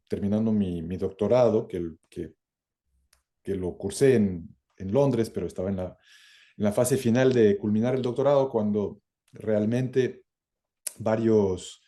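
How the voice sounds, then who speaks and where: A middle-aged man from France and Ecuador giving reflective interview answers, halting as he searches for words. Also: fairly low pitch, mellow. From born in France, lived some twenty years in Ecuador. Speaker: interview guest, academic and former government minister.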